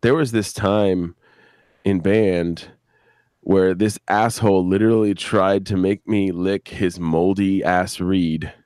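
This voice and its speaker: A middle-aged man with a very deep voice, recorded dry. He rambles through casual stories as a podcast guest, stopping and starting and cursing freely. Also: fairly even intonation, a little mellow, no echo. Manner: rambling, halting, crude swearing. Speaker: podcast creator, interview guest. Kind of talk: casual live-stream chat, storytelling. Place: video live stream with a banner behind.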